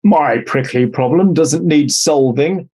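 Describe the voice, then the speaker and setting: A man's voice: a little high, slow pace, warm tone. A man voicing a rude male cactus in a children's storybook, scoffing and dismissive, drawing out his words.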